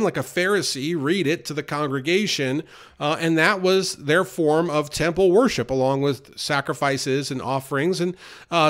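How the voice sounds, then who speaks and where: A man preaching an informal sermon in an earnest way, with many fillers and restarts. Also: on the high side.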